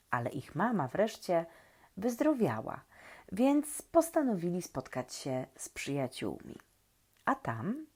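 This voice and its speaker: A woman who hosts and reads stories for young children, gently and playfully, asking questions and leaving expressive pauses. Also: lowish voice. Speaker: children's story reader and host. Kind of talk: reading aloud to young children, talking to the listeners.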